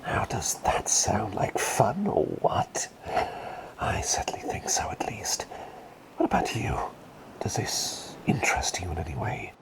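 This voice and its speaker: A man acts out a gentle, absent-minded male plague doctor for an ASMR roleplay. He whispers slowly, drifting up and down in a very animated way. His manner is polite and rambling, softly repeating himself. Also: on the low side, heavily acted, poor audio. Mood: gentle, whimsical. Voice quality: breathy whisper. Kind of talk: soft-spoken ASMR roleplay.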